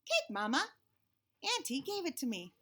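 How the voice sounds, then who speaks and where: A woman's voice: sits high, wildly swooping pitch, normal speed, sharp tone, voice pushed up, exaggerated voice. A woman voicing a small, innocent, proud boy in a storybook, answering simply and eagerly.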